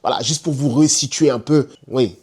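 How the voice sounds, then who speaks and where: A man's voice: medium pitch, moderate pace, a little mellow, slightly rough. A man vlogging at a hotel, interviewing guests in a playful, teasing way with lots of laughing. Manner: teasing, jokey, laughs often. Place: indoors at a busy hotel complex. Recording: crowd noise behind.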